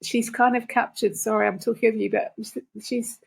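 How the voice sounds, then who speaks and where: A middle-aged or older woman talking casually and reflectively in halting phrases, as a guest reader. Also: on the high side, little pitch movement, vocal fry, slightly rough. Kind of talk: casual conversational discussion.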